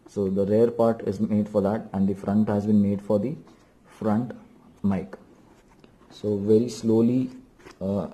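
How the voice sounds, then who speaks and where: Man, low pitch, very dark soft-edged tone, step-by-step DIY tutorial, off the cuff. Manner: explains step by step, repeats instructions for emphasis. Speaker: DIY vlogger. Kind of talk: unscripted hands-on tutorial narration.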